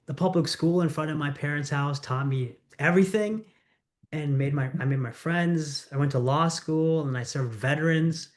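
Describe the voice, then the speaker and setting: A middle-aged man from the United States, a congressional candidate answering interview questions in an earnest, storytelling way. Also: on the high side. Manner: earnest, storytelling, quick asides.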